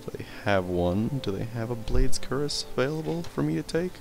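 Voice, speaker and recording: A man's voice: medium pitch, lively intonation, fairly quick, vocal fry, over music. A man doing casual let's play commentary, rambling, swearing casually and stumbling over hard words as he reads. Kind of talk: casual gameplay commentary and reading in-game text aloud.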